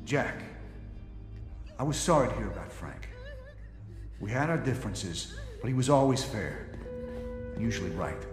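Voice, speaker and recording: An actor playing a weary, sincere middle-aged police captain in a video game, measured and earnest. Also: male voice, lowish voice, expressive, close to an everyday voice. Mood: earnest regret. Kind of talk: dramatic video game cutscene acting. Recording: echoey reverberant hall, music behind.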